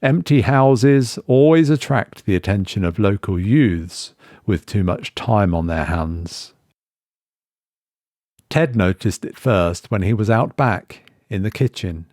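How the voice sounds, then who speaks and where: Slow, low-voiced man reading horror. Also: darkish tone, no room sound, studio quality. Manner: measured, suspenseful delivery. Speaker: story reader. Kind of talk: horror story narration.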